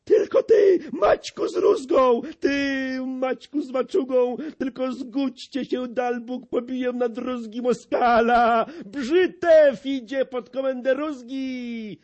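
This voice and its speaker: A man reading verse aloud and voicing a squeaky, eager little nobleman. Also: takes it slowly, hardly any pauses, highs cut off. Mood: excited. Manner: squeaky, excited. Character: excitable small male nobleman, squeaky and eager. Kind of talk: audiobook poetry reading.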